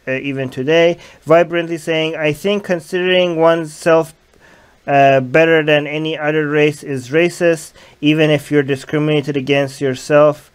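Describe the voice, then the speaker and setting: A man's voice: fairly high pitch, clear, resonant tone. Young man hosting a casual livestream. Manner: reads viewer comments aloud and argues points. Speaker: livestream host. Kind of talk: casual livestream chat reading comments. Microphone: headset.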